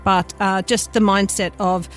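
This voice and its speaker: A woman from New Zealand co-hosting a casual witchcraft podcast, chatty and excitable. Her voice sits in the middle of the range and sounds a little distant, with faint music behind. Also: medium pitch, unhurried. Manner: excitable, laughs and shrieks often.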